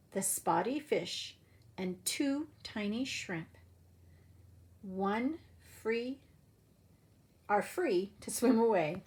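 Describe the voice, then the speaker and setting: A woman preschool teacher telling a story to young children in an expressive way, with simple phrasing and direct questions. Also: medium pitch.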